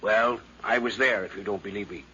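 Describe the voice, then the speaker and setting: An actor playing a garrulous, morbid older gentleman who rambles and hems, in a dull, noisy 1950s radio drama recording. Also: fairly low pitch, steady tone, brisk. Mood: earnest, rambling. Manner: rambling, blustery, hemming.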